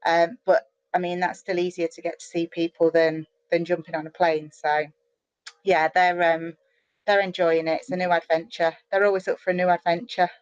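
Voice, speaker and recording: A chatty, animated middle-aged woman from the UK talks as a guest over a video call, her sentences tumbling out with restarts and fillers. The sound is close and dry, a little dull and lo-fi. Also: fairly low pitch, normal speed, smooth, dry recording. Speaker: guest, online marketer. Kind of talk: casual interview chat.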